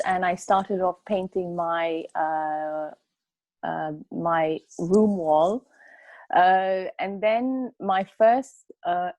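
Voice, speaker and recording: Slow, low-voiced woman chatting casually. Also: dry recording, low-quality recording. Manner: hesitant, many fillers, slow and soft. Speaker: co-host and artist. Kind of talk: casual chat.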